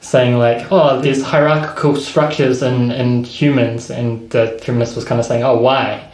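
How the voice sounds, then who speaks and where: Man, mid-pitched voice, hesitant and laughing, casual chat, echoey room. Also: flat delivery, moderate pace, a little mellow. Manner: hesitant, laughs often, many fillers. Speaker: podcast co-host.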